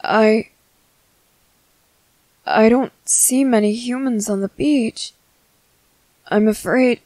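Woman voicing a gentle, high-pitched young merboy. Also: no echo. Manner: soft, hesitant, soothing. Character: gentle, shy, worried young merboy comforting someone. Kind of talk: ASMR roleplay acting.

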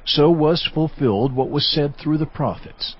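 A man narrating a dramatized Bible in a measured, formal scripted style. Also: fairly high pitch, slightly rough. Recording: slightly dull audio over music.

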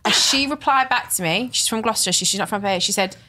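Animated young Liverpool woman telling stories. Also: mid-range voice, quick pace. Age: 20s. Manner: animated storyteller, acts out quotes. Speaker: podcast co-host. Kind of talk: casual chat.

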